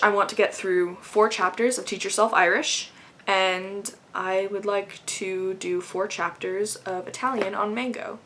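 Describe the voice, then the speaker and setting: A woman's voice: medium pitch, bright, forceful tone, slight background noise. A young woman chatting casually to camera, rambling with frequent laughs. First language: English. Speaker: vlogger.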